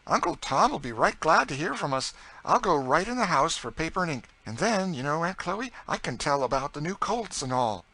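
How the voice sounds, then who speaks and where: A male narrator puts on a cheerful young master in a storybook. The boy's voice is high and forceful, with brisk, eager enthusiasm in the delivery. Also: big pitch swings, exaggerated voice, poor audio. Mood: excited. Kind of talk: audiobook character dialogue.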